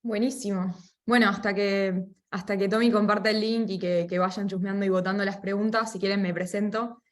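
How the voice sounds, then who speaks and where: A woman's voice: mid-range voice, fairly even intonation, normal speed. A woman from Argentina with an Argentine Spanish accent, speaking as a guest expert in a friendly, chatty online Q&A with a few fillers. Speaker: guest expert, product manager. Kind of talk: casual webinar Q&A. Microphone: video call.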